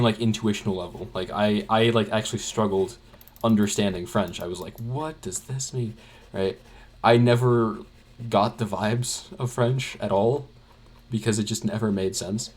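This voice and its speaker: A young man chatting casually while he draws, rambling with many fillers and restarts. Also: fairly low pitch. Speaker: art channel creator. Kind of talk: casual chat while drawing.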